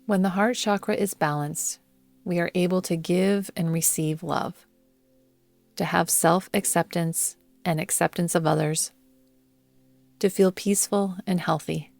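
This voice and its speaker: A woman guiding a yoga nidra meditation in a soothing, measured manner, leaving long pauses between phrases. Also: on the low side, steady tone, creaky, a little mellow, no room sound. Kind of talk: guided meditation narration. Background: background music.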